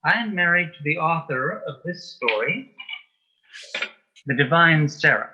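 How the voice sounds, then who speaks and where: A middle-aged man with a sharp, very bright voice, a little high in pitch, on a slightly lo-fi recording. He reminisces as a guest, matter-of-fact and dryly funny. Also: expressive, measured pace. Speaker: guest, author and playwright. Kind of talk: casual reminiscing interview chat.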